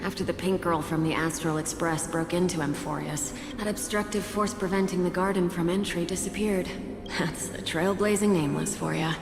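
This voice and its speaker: A woman's voice: fairly low pitch, steady tone, normal speed, natural voice. Casting: actress for breezy female conspirator giving orders, video game; confident, reverberant, music underneath. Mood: assured. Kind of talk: dramatic video game acting. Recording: echoey hall reverb, music behind.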